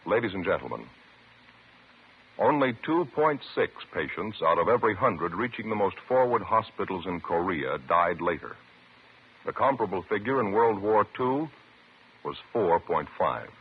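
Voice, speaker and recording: An American man announcing from a script in a formal, measured and emphatic 1950s radio style. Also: low-pitched, big pitch swings, husky. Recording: muffled old radio recording with hiss.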